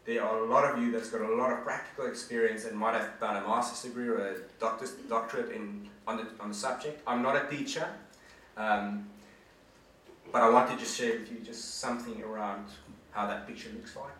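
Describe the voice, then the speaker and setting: A man's voice: lowish voice, fairly even intonation, fairly quick, a bit bright, slight background noise. A man in his forties gives an earnest, conversational presentation to an audience as a guest speaker. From South Africa. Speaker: guest speaker, management consultant. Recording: echoey hall from far off.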